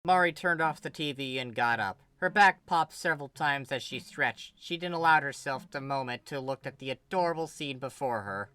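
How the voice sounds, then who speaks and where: A person reading a story aloud as an expressive narrator, doing scripted prose and character dialogue. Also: medium pitch, moderate pace, few pauses, clear, resonant tone, no room sound. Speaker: fan fiction reader. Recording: music behind the voice. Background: music.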